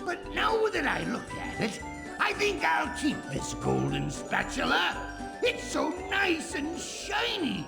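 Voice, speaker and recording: A man voicing a blustering, melodramatic old ghost pirate captain in a cartoon game, gravelly and theatrical. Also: extremely high pitch, huge pitch swings, takes it slowly, music in the background, exaggerated voice. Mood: smug. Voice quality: gravelly, creaky. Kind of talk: cartoon game character acting.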